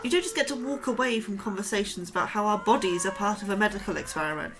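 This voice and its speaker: An actress playing an anxious, inquisitive young scientist who keeps pestering a coworker with questions. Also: female voice, mid-range voice, fast, a bit bright, natural voice, low-quality recording.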